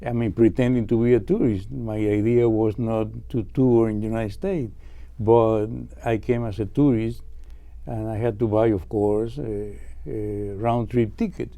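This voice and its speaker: Older Cuban guitarist, Spanish-accented English, halting and polite, very mellow tone. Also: male voice, on the low side, fairly even intonation. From Cuba. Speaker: classical guitarist guest. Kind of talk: interview answers.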